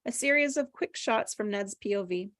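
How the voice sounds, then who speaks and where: A woman's voice: medium pitch, lively intonation, moderate pace, a bit bright, no room sound, studio quality. A woman reading stage directions as scripted narration at a table read.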